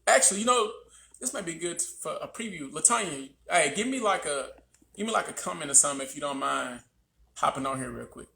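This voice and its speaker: A man with a loud voice, co-hosting a casual live-stream chat. He is energetic, repeats himself and restarts his sentences often. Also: fairly high pitch, a little fast, vocal fry, a little hiss or hum. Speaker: podcast co-host. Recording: roomy echo.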